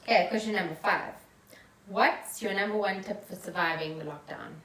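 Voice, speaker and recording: A woman's voice: medium pitch, normal speed, fairly bright tone. A woman chatting casually as co-host of a podcast. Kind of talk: casual unscripted chat. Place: echoey room at home. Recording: echoey room, distant mic, faint noise.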